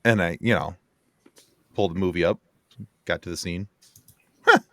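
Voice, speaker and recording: Low, very bright-voiced man, dry sound. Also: normal speed, no echo. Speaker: podcast panelist. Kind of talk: casual film discussion.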